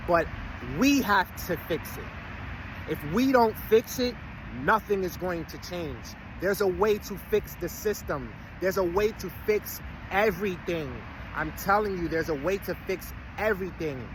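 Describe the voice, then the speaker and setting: A man about to turn forty, talking casually to his followers in a rambling, streetwise way with preachy asides. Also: high voice. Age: 30s. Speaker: vlogger. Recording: outdoor street noise, vehicles passing.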